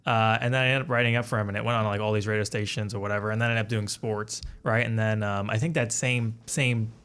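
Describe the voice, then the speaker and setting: Fast-talking young man telling loose stories. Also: fairly low pitch, flat delivery, fairly bright tone, clean tone. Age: 20s. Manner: rambling, loose storytelling with fillers. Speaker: guest, alumnus. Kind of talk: casual interview chat.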